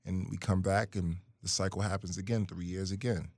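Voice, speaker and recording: A man's voice: low voice, a little fast, no room sound, studio quality. A man from Brooklyn and Guyana, a musician guest telling stories in a casual interview. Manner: animated storyteller, hums melody lines.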